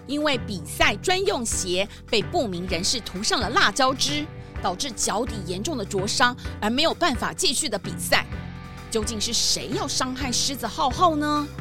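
A woman narrator reading scripted children's story narration. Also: a little high.

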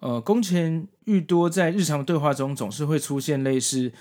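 A man's voice: fairly high pitch, normal speed, darkish tone. A man hosting a podcast, narrating and commenting on a story from prepared notes in an explanatory way. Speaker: podcast host.

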